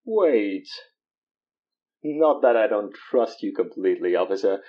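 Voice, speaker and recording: A man's voice: fairly low pitch, animated, unhurried, muffled recording. A man playing a pompous spiritual guru in an audio drama, speaking in a grandiose, flowery way. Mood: flustered, obliging. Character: pompous, theatrical male spiritual guru peddling remedies. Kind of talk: audio drama character acting.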